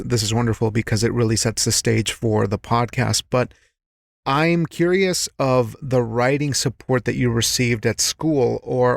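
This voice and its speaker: A man's voice: medium pitch, creaky, no room sound. A male podcast host with a mid-range voice, recorded dry and free of room sound. He interviews casually and thoughtfully, often reworking a question partway through the sentence.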